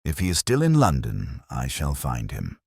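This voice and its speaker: A man voicing a brilliant, intense detective in an audiobook, commanding and cryptic, with deliberate, rhetorical delivery. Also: rumbling low, huge pitch swings, darkish tone, husky, no room sound, clean studio sound, pitched below the performer's own voice, exaggerated voice. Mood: resolute.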